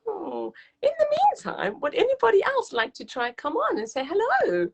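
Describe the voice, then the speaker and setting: High-pitched, very animated woman hosting children's story time. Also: moderate pace, creaky, highs cut off. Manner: encouraging, gushing, sing-song child-directed talk. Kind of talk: live read-aloud and chat addressed to young children.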